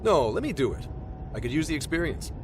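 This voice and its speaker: An actor playing a blunt, gruff older man who is easily exasperated, in an anime-style video game. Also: a little high, big pitch swings, quick pace, background noise. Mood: businesslike. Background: loud music.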